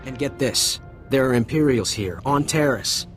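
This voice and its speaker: A man's voice: medium pitch, normal speed, close to an everyday voice. A man playing a wisecracking young ensign. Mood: wry. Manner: sarcastic, jokey, stammers when scolded. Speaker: rescued junior officer. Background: faint music.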